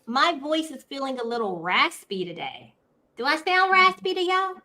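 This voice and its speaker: A woman's voice: high voice, normal speed. A woman in her forties hosting a casual online talk show from home over a video call, playful and chatty. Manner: lively, playful, Southern-style "girl" and "y'all" banter. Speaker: podcast host. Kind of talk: casual livestream chat.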